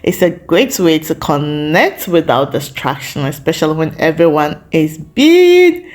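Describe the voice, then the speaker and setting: A woman vlogger speaks in a slightly low voice at an unhurried, slow pace. She addresses her viewers casually, in a reflective and encouraging manner, often pausing briefly between phrases. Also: sing-song, darkish tone. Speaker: host of a lifestyle vlog. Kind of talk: casual vlog talk to viewers.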